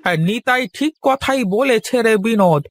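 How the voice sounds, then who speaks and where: A man's voice: extremely high pitch, normal speed, a bit bright, dry recording. A man voicing an approving senior village farmer in a cartoon folk tale. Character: approving older village farmer, addressed as elder brother.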